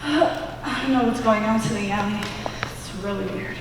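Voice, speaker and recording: A woman's voice: on the high side, normal speed, lightly acted. A teenage student actress with an American accent playing an exasperated, incredulous woman in a stage comedy. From United States. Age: teens. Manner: agitated, incredulous. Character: flustered, exasperated woman having a baffling day. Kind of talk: one-act stage comedy acting. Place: school auditorium stage. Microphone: distant camera microphone. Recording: echoey auditorium recorded from far off, hiss.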